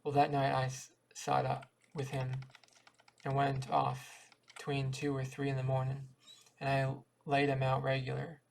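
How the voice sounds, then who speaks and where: A man's voice: mid-range voice, completely flat delivery, measured pace, gravelly, a little mellow. A man reading a folksy dialect short story aloud as an amateur audiobook reader, often stumbling and repeating words.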